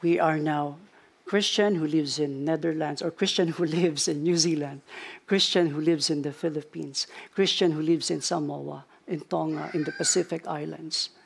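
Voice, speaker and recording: Gravelly pastor closing a sermon. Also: male voice, on the high side, fairly even intonation, normal speed. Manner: earnest, repeats phrases for emphasis. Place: church.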